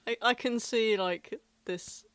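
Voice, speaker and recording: Woman in relaxed podcast chat. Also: on the high side, slow, no echo. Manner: informal, amused, loose phrasing. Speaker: podcast co-host. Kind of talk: casual chat.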